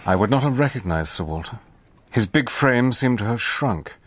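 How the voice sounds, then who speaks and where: An actor playing a resolute army major who narrates and speaks in a brisk, soldierly way. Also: male voice, mid-range voice, animated, slight background noise, close to an everyday voice. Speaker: narrator and lead. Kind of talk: first-person dramatic narration.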